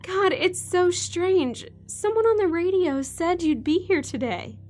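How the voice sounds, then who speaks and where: A woman's voice: very high-pitched, expressive, measured pace, darkish tone, faint music behind. A woman playing an emotional, breathless woman reunited with someone she missed, in an audio drama. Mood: overwhelmed, wondering.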